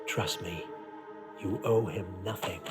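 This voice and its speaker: Smooth older villain in a game cutscene, deep slow condescending voice, loud music under it. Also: male voice. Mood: persuasive. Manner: measured, silky, condescending. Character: smooth, scheming, menacing older power broker villain. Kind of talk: cinematic video game villain acting.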